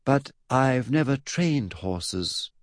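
A man's voice: mid-range voice, sing-song, normal speed, a little mellow, no echo, studio quality, voice pushed up, lightly acted. A man voicing a patient, puzzled twelve-year-old boy in a comic children's audiobook, hesitant, polite and earnest. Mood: patiently protesting.

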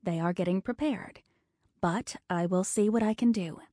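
A woman doing a hushed, comforting nurse. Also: mid-range voice, takes it slowly, no echo, studio quality. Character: kind nurse, quiet and comforting.

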